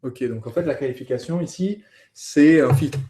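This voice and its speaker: Male course host, mellow mid-pitched voice, conversational explainer who thinks aloud. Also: normal speed. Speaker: host of a business training course. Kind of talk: conversational teaching, interviewing a colleague.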